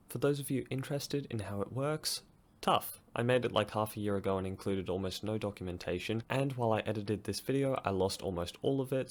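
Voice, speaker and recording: A young man narrating a DIY project casually, with dry, self-deprecating humour. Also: on the low side. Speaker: maker presenting a DIY project.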